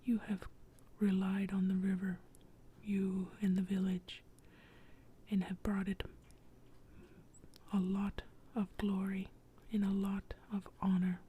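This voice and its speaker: A woman whispering as a gentle male river spirit. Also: medium pitch, completely flat delivery, slight background noise, lightly acted. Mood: kindly. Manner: whispered, slow and soothing. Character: ancient male river spirit, calm and benevolent. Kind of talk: ASMR storytelling.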